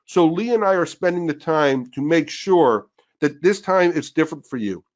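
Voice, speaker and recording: A man co-hosting a webinar who is losing his voice, pushing a sales pitch and calling out names to rally listeners. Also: expressive, moderate pace. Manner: pushy, rallying, calls out names. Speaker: webinar co-host. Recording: dull lo-fi webinar audio.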